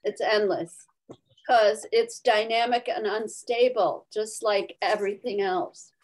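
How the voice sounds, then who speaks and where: A woman speaking as a Zen teacher in an informal discussion over a video call, reflectively and in short phrases with pauses. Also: medium pitch, fairly even intonation, a little mellow, low-quality recording. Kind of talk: spontaneous dharma talk and discussion.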